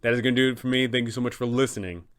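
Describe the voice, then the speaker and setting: A man's voice: medium pitch, steady tone, racing, a bit bright, clean tone. A man hosting a game master's guide, explaining in an enthusiastic, conversational way. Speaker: dungeon master and channel host.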